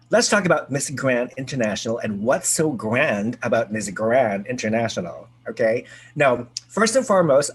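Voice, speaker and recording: A man hosting a casual group video call, chatty and excitable, with lots of fillers and restarts. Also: mid-range voice, slightly rough. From United States. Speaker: host.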